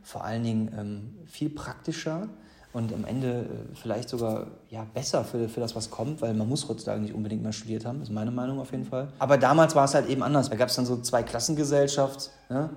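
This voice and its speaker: A man's voice: medium pitch, brisk, darkish tone. A man in his thirties from the Ruhr area, telling his own life story in a loose, chatty way with many fillers and restarts. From Ruhr area, Germany. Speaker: interview guest. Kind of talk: casual personal storytelling. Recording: echoey room.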